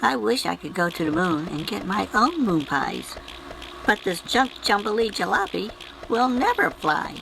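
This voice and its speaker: A man doing an eager, excitable young boy. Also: high voice, a little slow, vocal fry, background noise, pitched above the performer's own voice, full character voice. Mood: wistful. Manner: excitable, exclamatory.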